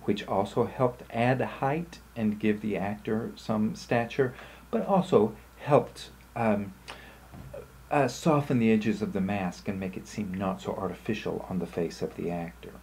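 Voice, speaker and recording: A man giving an explanatory slide lecture in short, measured phrases with pauses. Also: fairly low pitch, darkish tone, slight background noise.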